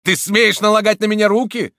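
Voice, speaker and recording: A man voicing an outraged Jesuit priest, shouting. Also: a little high, flat delivery, slow, clear, resonant tone, dry recording, studio quality, voice pushed up. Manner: indignant shouting. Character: outraged middle-aged male Jesuit priest.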